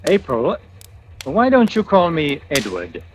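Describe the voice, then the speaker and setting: An actor playing a haunted, secretive strangler in 1940s radio drama, speaking in a halting, terse, menacing way. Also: male voice, fairly high pitch, wildly swooping pitch, full character voice. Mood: guarded. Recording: noisy old radio broadcast.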